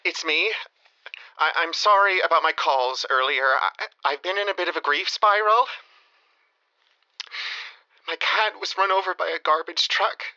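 Sharp, pleading man on voicemail. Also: fairly high pitch, a little slow, pauses often, heavily acted. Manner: hesitant, apologetic, pleading. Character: apologetic, needy ex-boyfriend leaving a voicemail. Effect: voicemail filter. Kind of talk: audio drama acting. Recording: thin, muffled voicemail line.

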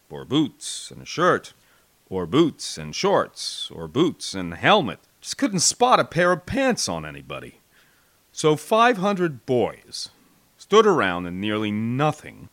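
An American man narrating a war memoir as an audiobook, with an expressive, wry, folksy storytelling delivery. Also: mid-range voice, dry recording. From United States.

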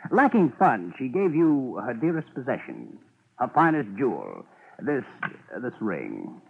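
An American man playing a dry, smugly patient police official in a 1950s radio drama, with a husky voice and mock-officious deadpan delivery. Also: medium pitch, a little slow, heavily acted. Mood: teasing. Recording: muffled, phone-like 1950s radio recording.

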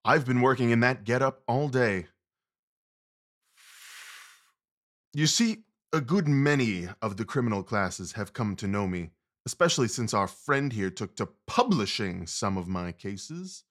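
A gentleman detective, played by a man for an audio drama. His mid-range voice is confident and commanding, with precise phrasing and a wry edge. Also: medium pitch, lively intonation, normal speed, natural voice. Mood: pleased, wry.

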